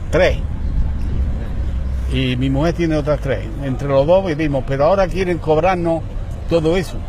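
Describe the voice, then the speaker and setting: A man's voice: fairly high pitch, normal speed, noisy background. An older man from Spain, a returned emigrant, telling rambling, indignant stories in casual street chat outdoors.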